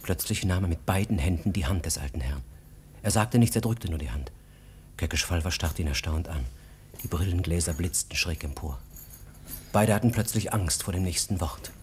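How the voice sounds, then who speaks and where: A man narrates a radio drama in a deep register. His delivery is literary, deliberate and sombre, with a faint noise behind the recording. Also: lively intonation.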